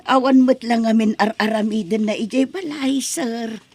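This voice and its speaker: A woman playing a husky, plaintive mother. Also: on the high side, normal speed, darkish tone. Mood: deferential. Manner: plaintive, deferential. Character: poor, apologetic, loving mother pleading for her daughter. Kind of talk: Ilocano radio-style drama acting.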